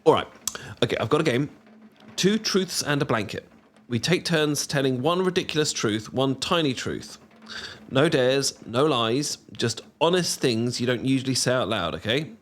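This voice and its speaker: A young man with a British accent performs an intimate roleplay as if chatting to someone close. His delivery is playful and affectionate, with gentle teasing. He often hesitates bashfully, which makes the scripted lines sound like casual conversation. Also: mid-range voice, lively intonation, normal speed.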